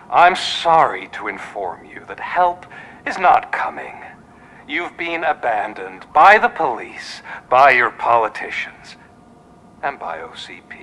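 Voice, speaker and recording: A man playing a menacing, messianic gang leader in a video game, giving measured oratory that dips into hissed whispers. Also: fairly high pitch, bright, forceful tone, full character voice, low-quality recording. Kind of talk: video game villain's broadcast speech.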